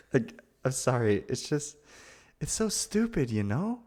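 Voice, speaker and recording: A man acting a nervous, awkward, lovestruck young man in a gentle roleplay, with hesitant, stammering delivery. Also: fairly high pitch, exaggerated voice. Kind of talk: ASMR boyfriend roleplay acting.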